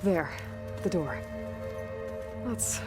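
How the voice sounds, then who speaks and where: An actress playing a wry, practical young heroine in a video game, speaking in a dry, casual, understated way. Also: female voice, lowish voice, expressive, very slow. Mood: curious, musing. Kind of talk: video game dialogue acting. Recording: loud music behind the voice.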